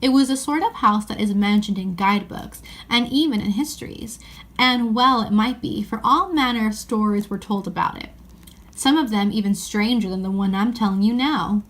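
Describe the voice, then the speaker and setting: A woman's voice: mid-range voice, normal speed, fairly bright tone. A woman narrating a children's story as an audiobook reading.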